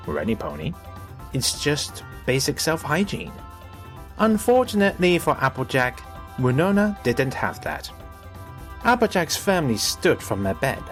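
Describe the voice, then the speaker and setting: A man narrating a scripted story in an expressive, theatrical way. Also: mid-range voice.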